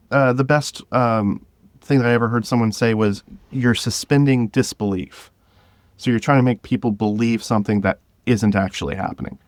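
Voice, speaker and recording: A man talking casually and enthusiastically as an interview guest, in an informal, halting way. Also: mid-range voice, a little mellow, no room sound, clean studio sound. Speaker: podcast guest, drama creator.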